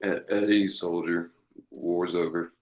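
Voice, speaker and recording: Man playing a very deep-voiced haunted outlaw boss. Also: steady tone, slow pace, slight background noise. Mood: weary, irritated. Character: haunted male war-veteran outlaw gang leader. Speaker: actor in a table read. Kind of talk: amateur script read-through of a western.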